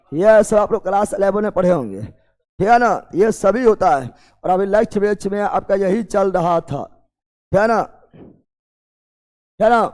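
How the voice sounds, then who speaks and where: A man's voice: high-pitched, darkish tone. A man with a Bihari accent teaching an exam coaching class, repeating key terms and putting check questions to his students.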